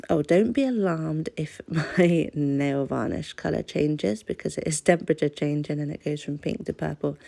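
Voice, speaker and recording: A woman's voice: low-pitched, creaky, dark tone, breathy edge. A British woman with a stuffy-nosed voice from a cold, chatting casually and self-deprecatingly in a craft voice-over. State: has a cold. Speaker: craft channel host.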